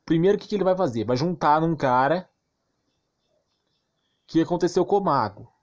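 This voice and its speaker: A man's voice: on the high side, lively intonation, normal speed, highs cut off. A man with a Brazilian accent giving a casual, ranting game commentary, slangy and crude, emphatic and repetitive with rhetorical questions. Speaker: gaming YouTuber.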